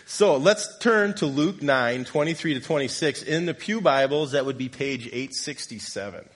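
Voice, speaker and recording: A man's voice: mid-range voice, animated, moderate pace. A man with a slight cold giving an informal, conversational sermon in church, joking with the congregation. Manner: conversational, jokes with congregation, self-deprecating. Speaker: lay preacher.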